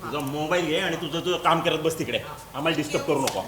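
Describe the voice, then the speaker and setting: A man's voice: fairly high pitch, fast talker, close to an everyday voice. A man acting in an amateur stage play as a bossy, brusque friend who herds a group at a reunion. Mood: irritated. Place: stage in an echoey hall. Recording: echoey hall, distant mic, loud noise.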